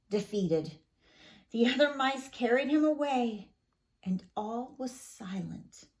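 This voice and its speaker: A woman reads a children's story in a high voice at a slow pace. Her narration is dramatic and careful, with lots of rise and fall in pitch.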